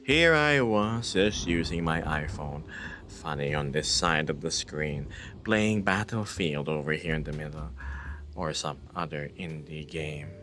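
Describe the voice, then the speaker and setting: Deep-voiced playful male streamer. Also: unhurried, sharp tone, faint music behind. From Philippines. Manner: playful, teasing, laughs often. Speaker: livestreamer. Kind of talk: casual livestream chat with viewers.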